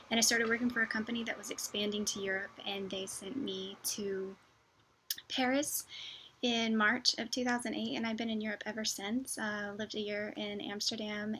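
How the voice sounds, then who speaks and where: American woman vlogger, middle-aged, really bright clear-cut voice, explaining stuff informally with everyday examples. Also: mid-range voice, poor audio. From United States (Wichita, Kansas). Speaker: vlogger, expat presenter. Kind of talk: informal explanatory talk to camera.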